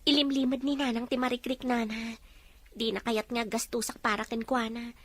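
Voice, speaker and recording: A woman's voice: a little high, little pitch movement, moderate pace, no room sound, close to an everyday voice. An actress playing an earnest young mother worried about her family.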